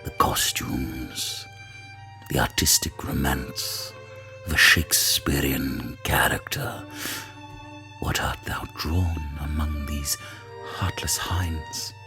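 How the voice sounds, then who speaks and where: A pompous drama teacher, extremely deep, slow and grandiose. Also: male voice, fairly even intonation, bright, forceful tone, soft background music, exaggerated voice. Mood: theatrical. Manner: grandiose, hammy Shakespearean declaiming.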